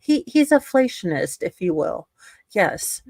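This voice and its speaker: Slow, lower-voiced woman reading legalese, close and dry. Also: sing-song, darkish tone, no room sound. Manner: irreverent, salty commentary between read passages. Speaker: co-host reading a court ruling aloud. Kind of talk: casual, joking dramatic reading of a legal document.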